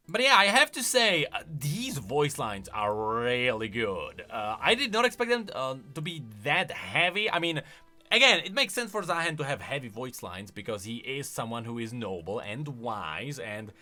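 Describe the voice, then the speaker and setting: A man's voice: a little high, bright, forceful tone. A man hosting a video, giving casual, enthusiastic commentary on game lore and explaining as he goes. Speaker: video host.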